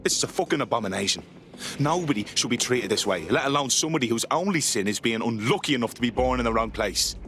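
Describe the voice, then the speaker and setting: A man's voice: mid-range voice, animated, quick pace, fairly bright tone, soft background music. A man playing a blunt, indignant hacker vigilante in a video game. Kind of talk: game cutscene dialogue.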